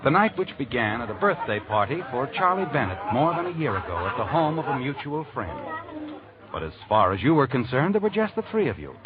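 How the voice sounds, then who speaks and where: An actor playing a knowing, ominous storyteller who narrates in an insinuating 1940s radio mystery style. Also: male voice, mid-range voice, very animated, brisk, close to an everyday voice. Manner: knowing, insinuating, second-person telling. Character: knowing, ominous male storyteller addressing the protagonist. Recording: tinny old radio recording with music.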